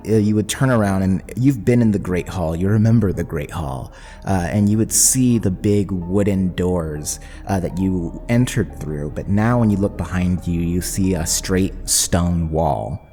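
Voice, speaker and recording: A male game master narrates a fantasy scene. His voice is very low and mellow, with long reverb and music underneath. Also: measured pace.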